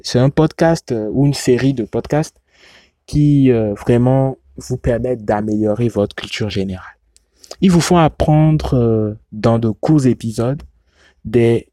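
Hesitant man recommending podcasts, mellow voice. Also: mid-range voice, no echo, studio quality. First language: a language derived from Yoruba. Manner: hesitant, many repeated words and restarts. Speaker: podcast reviewer. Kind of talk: casual recommendation monologue.

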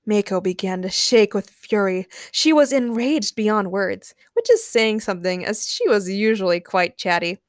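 Woman podcaster narrating a fanfic story, medium pitch, really dry sound. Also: big pitch swings, moderate pace, few pauses, dry recording. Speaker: podcast host narrating fan fiction.

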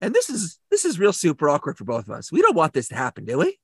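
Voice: mid-range voice, wildly swooping pitch, quick pace, husky, dry recording, clean studio sound, heavily acted. A performer playing an aggrieved male landlord in a comedic audio play, rambling awkwardly in a whiny, exasperated way. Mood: stern, demanding.

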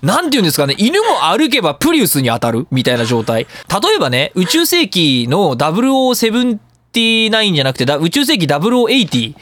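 Guy doing a casual radio rant, higher pitched voice, swings all over the place. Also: fast talker, no echo. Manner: animated, ranting, theatrical emphasis. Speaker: radio show host. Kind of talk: casual solo radio monologue.

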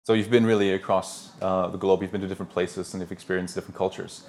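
A man hosting a business interview as a consultant, asking fluent, brisk questions. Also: deep voice, flat delivery, a little mellow.

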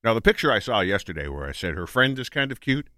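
A man's voice: fairly low pitch, sing-song, quick pace, sharp tone, no room sound, clean studio sound. A man speaking as a talk show panelist in a casual discussion.

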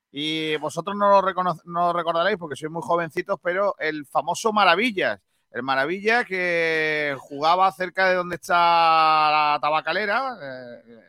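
A man hosting a live radio show, chatting in a relaxed way about carnival songs. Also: a little high, clean tone, no echo, clean studio sound. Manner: chatty, relaxed, trails off and restarts often. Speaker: radio host.

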